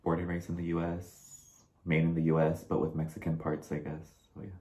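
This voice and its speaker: Young male co-host from Texas, very low voice, loose chatty delivery, roomy call audio with faint noise. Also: monotone, fairly quick, dark tone. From Dallas, Texas. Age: young adult. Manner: chatty, lots of "like" and "I mean". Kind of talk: casual chat. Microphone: video call.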